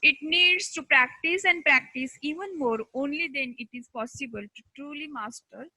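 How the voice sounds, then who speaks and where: A woman with an Indian accent giving a scripted lesson as an instructor, speaking deliberately in short, halting chunks. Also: sits high, bright, forceful tone, no room sound, poor audio.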